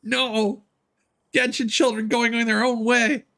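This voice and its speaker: Guy doing a tiny excitable game fairy girl, caring, high bright voice. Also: slow pace, clean tone, heavily acted. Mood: exasperated. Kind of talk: reading game dialogue in character.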